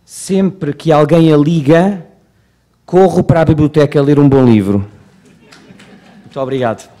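A man in his fifties with a European Portuguese accent, giving a measured, rhetorical seminar speech at a podium microphone. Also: a little high, mellow. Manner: measured, rhetorical, slows for emphasis. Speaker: Portuguese consul general giving opening remarks. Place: conference auditorium, at a podium.

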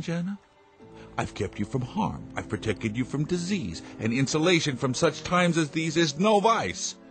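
An actor playing a stern, controlling older inventor and father, speaking pompously in a radio drama. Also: lowish voice.

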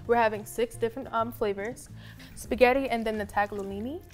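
A woman's voice: fairly high pitch, steady tone, fairly quick, soft background music. Upbeat young woman presenting products. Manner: upbeat, frequent agreeing murmurs. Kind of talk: casual cooking demonstration. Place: studio kitchen set.

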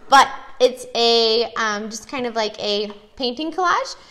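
A woman's voice: a little high, lively intonation, a little slow, a bit bright. An American woman, a preschool teacher, chatting enthusiastically and casually as she shows classroom activities.

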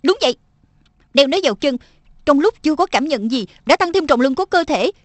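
A fast-talking, high-pitched clever boy who eagerly explains his gadget, as a woman acts him out. The cartoon dub is recorded dry and close. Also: expressive, no echo. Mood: explaining. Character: clever boy, older brother, inventor of gadgets.

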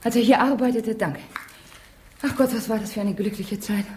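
An actress playing a sculptor's anxious, self-absorbed wife in a 1950s German radio drama, plaintive and wistful, on an old roomy recording. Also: female voice, on the high side, normal speed, a little mellow, a little hiss or hum, close to an everyday voice. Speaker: actor in a radio play.

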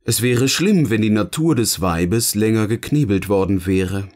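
A man's voice: fairly low pitch, measured pace, darkish tone, lightly acted. A man acting a teasing, philosophical partner in scripted audio-novel dialogue, with a theatrical, questioning manner. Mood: musing. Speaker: voice actor, narrator.

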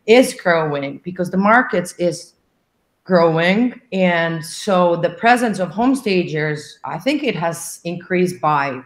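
Female interview guest, middle-aged, English, lowish voice, measured explainer, roomy video-call sound. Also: animated. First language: not English. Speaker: association president, interview guest. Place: indoor room. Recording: echoey room over video call.

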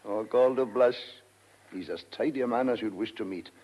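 An actor playing a shrewd, kindly old farmhand in a radio drama, with a droll, folksy rural way of talking. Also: male voice, fairly low pitch, normal speed, muffled recording, natural voice. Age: older.

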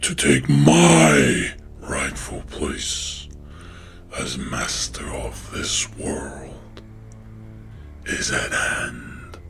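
A man playing an ancient, menacing dark lord in a horror film monologue, grandiose and coldly commanding, with a raspy croaking whisper. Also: a bass voice, steady tone, over music, full character voice. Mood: brooding, ominous. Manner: slow, portentous, drawn-out phrases.

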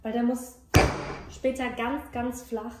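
A young woman in her twenties, a food vlogger chatting casually and relaxed at home. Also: fairly high pitch, takes it slowly. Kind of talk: casual vlog chat. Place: home rooms and kitchen, indoors. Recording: echoey room with background noise.

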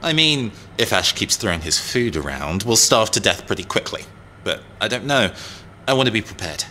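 A man playing a cocky, touchy young robot pilot and tinkerer in an audio drama, sarcastic and animated. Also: lowish voice, moderate pace, a little hiss or hum. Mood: resigned, practical.

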